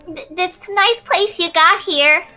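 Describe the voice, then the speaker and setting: An innocent little girl in an improvised western radio play. The voice is tiny and very high, slow and bright, with a childlike curiosity. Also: soft background music, full character voice.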